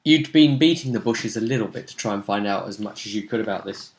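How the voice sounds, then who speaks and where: A man's voice: fairly low pitch, expressive, fast. A man talking casually and bluntly as a game master going over a tabletop role-playing session. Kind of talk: casual chat.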